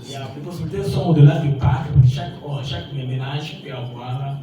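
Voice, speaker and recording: A man's voice: on the high side, fairly quick, highs cut off. Male interpreter in an echoey hall. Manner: rephrasing, hesitant. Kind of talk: consecutive interpreting into French. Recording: echoey hall, far from mic, music behind.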